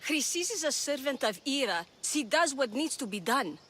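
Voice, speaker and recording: A woman's voice: high-pitched, sing-song, moderate pace, a bit bright. A woman playing a devout young mother in a video game, emotional and earnest. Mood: anguished, pleading. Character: pleading, devout young mother and cult follower. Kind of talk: dramatic video game voice acting.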